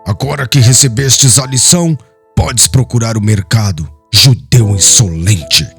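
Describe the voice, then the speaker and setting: Man acting a cruel, gravelly Roman officer. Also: on the low side, a little slow, a bit bright, soft background music. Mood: mocking disdain. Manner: harsh, scornful, commanding. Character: arrogant cruel Roman tribune officer, sneering and brutal. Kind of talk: dramatized audiobook character voice.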